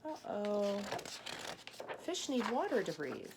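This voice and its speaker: A woman teacher reading a picture book aloud expressively, pausing to ask young listeners questions and explain things. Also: mid-range voice, sing-song, background noise.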